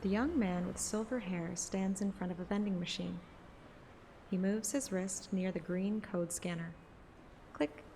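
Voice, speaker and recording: A woman narrating a scripted present-tense story in a measured, descriptive way. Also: mid-range voice, warm tone, slight background noise, low-quality recording. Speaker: audiobook narrator and streamer. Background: music.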